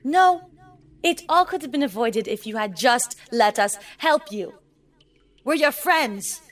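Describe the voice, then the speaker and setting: A woman's voice: a little high, expressive, measured pace, far from the mic, pitched below the performer's own voice. A woman playing a stubborn, indignant eighteen-year-old girl adventurer in a tabletop game, arguing sarcastically. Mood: hurt, defiant. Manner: argumentative, sarcastic.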